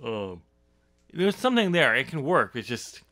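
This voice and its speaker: A man's voice: medium pitch, sing-song, normal speed, pushed and bright, faint music behind. A man hosting a podcast, casually talking through a story with informal, chuckling banter. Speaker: podcast host and author. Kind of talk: casual book discussion.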